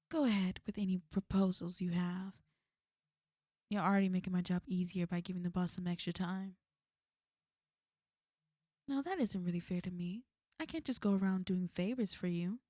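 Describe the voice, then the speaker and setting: Woman acting a smug, mellow-voiced female villain. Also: mid-range voice, dry recording, studio quality, natural voice. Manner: taunting, coolly threatening, unhurried. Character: smug, menacing female villain, fond of an enemy. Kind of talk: ASMR roleplay.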